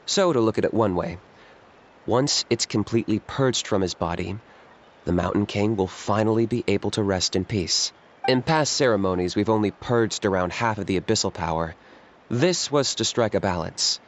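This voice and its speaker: A man playing a deadpan blunt young warrior. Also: fairly low pitch, moderate pace, slight background noise, lightly acted. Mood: calm. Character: blunt young male warrior, dry and matter-of-fact. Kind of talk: game voice acting.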